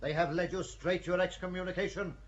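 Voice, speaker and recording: A man's voice: sits high, fairly even intonation, fast, close to an everyday voice. A man playing a blunt, scornful churchman sitting in judgement in an audio drama. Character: sharp, scornful male clerical accuser. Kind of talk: audio drama acting of a stage play. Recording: dull audio with some noise.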